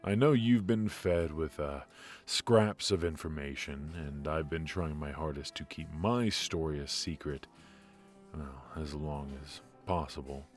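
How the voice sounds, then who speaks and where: A man playing a suave, ruthless mafia boss in a noir audio roleplay, confiding in a measured, intimate, smug way with hesitant pauses. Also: very deep voice, faint music behind. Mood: smug, teasing. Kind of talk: noir ASMR roleplay monologue.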